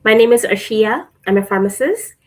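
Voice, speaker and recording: A woman's voice: fairly high pitch, lively intonation, normal speed, darkish tone. A woman from India giving a casual talk, a little hesitant with fillers. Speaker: guest speaker.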